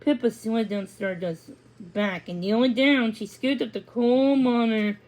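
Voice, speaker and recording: A woman with a fairly high voice narrates a children's storybook. She reads it aloud as a story, occasionally stumbling over a word and repeating it. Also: a little slow, darkish tone, poor audio. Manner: stumbles and rereads words. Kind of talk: read-aloud storybook narration with acted dialogue.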